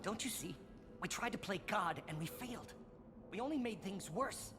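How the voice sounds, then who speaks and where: A man's voice: sits high, animated, moderate pace. A man voicing a bitter, zealous alien scientist in a video game, articulate and accusatory, a disillusioned former student. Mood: impassioned. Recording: game dialogue over background music.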